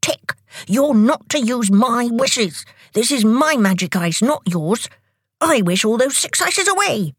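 A woman voicing a bossy, sulky, quick-tempered young brother in a children's storybook. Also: mid-range voice, big pitch swings, husky, no echo, clean studio sound, voice pushed up, full character voice. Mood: angry.

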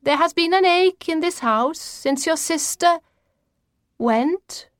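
A woman's voice: extremely high pitch, animated, slow, no room sound, studio quality, voice pushed up, exaggerated voice. A woman voicing an eccentric, commanding grandmother who makes grand, mystical pronouncements in an audiobook. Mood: portentous. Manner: emphatic, grand, singsong pronouncements.

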